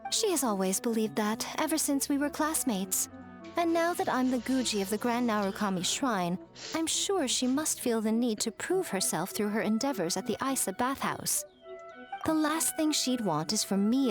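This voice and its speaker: An elegant, sly shrine priestess from a video game, acted by a woman. The voice sits fairly high and moves with animated, teasing poise, every phrase measured and articulate, over faint music. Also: close to an everyday voice. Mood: composed, concerned. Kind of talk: English video game voice acting.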